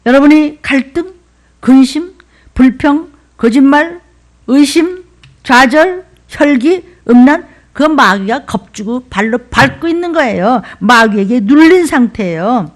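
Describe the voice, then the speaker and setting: A middle-aged woman pastor with a Seoul accent preaches with a lively, swooping voice that sits a little high. She drives her points in rhythmic lists, now and then falling to a whisper or rising to a shout. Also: measured pace. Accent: Seoul dialect. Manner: emphatic, rhythmic listing with sudden whispers and shouts. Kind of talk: sermon.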